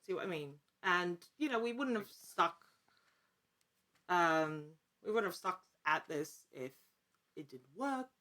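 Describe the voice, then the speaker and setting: A woman with a slightly low voice speaks as a guest in a relaxed interview. She is earnest, working out her thoughts aloud and often breaking off to rephrase. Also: slow pace. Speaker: podcast guest, actor and actual-play storyteller. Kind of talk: casual interview conversation.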